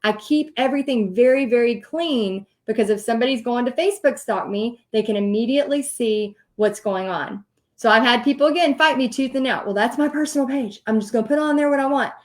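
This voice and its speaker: High-pitched Texan woman coaching. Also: brisk. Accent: Texas. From Texas, United States. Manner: chatty, animated storytelling with asides. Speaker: host and paint party teacher. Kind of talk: informal online business coaching talk.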